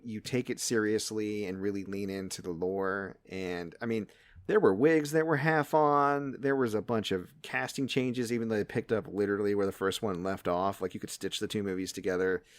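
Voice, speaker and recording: A man hosting a podcast, chatting casually in an animated, joking way with some swearing. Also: on the low side, moderate pace, no echo. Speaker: podcast host. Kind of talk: casual, bantering podcast chat.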